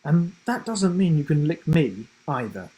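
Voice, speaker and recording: A man's voice: a little high, big pitch swings, unhurried, dark tone, breathy edge, heavily acted, low-quality recording. A man voicing a sceptical, teasing young girl in a children's story.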